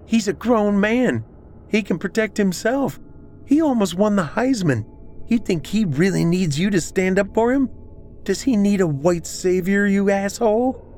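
A frantic young woman from a horror audiobook, acted out by a man, pitched high and swinging widely. The delivery is shrill and urgent, full of anger and pleading, with some noise behind it. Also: expressive, slow, heavily acted. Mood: angry, frightened. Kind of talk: horror audiobook character acting.

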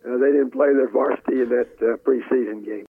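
An older man, a football coach, telling anecdotes casually in a halting, folksy way. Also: mid-range voice, steady tone, husky. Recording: muffled phone line.

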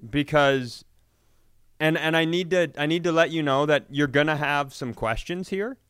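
A man hosting a comedy podcast, telling casual, joking, profanity-laced stories in an animated way. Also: on the high side, moderate pace, clean tone, no echo, clean studio sound.

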